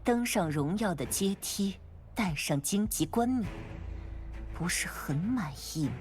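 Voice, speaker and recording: A woman's voice: medium pitch, lively intonation, measured pace, darkish tone, faint music behind, natural voice. A woman voicing a poised, mysterious matriarch of a noble house in an anime game. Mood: solemn.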